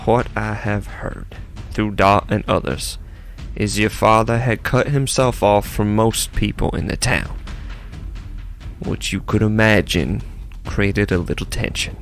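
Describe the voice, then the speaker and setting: A man playing a measured, earnest, slightly awkward small-town clergyman in a radio drama. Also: lowish voice, gravelly, faint music behind. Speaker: actor in an audio drama.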